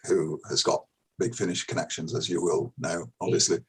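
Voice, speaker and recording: An older man with a husky voice, chatting casually as an interview guest in a rambling, hesitant way and repeating himself. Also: deep voice, flat delivery, moderate pace, a bit bright. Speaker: interview guest, actor and director. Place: bedroom at home, on a video call.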